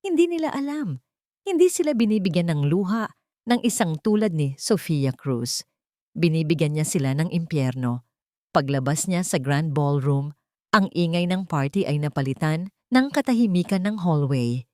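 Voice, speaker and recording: A woman's voice: deep voice, animated, moderate pace, a little mellow, dry recording, clean studio sound. A woman reading a scripted dramatic story as its narrator.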